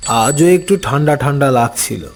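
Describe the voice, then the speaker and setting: A man narrating a scripted first-person story as an uncle, with unhurried, expressive dramatic delivery. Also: mid-range voice.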